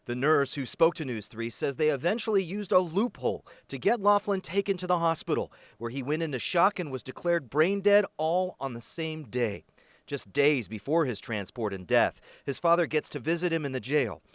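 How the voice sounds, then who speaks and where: An American man reporting investigative news in a scripted, emphatic and measured broadcast delivery. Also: a little high, lively intonation, no room sound.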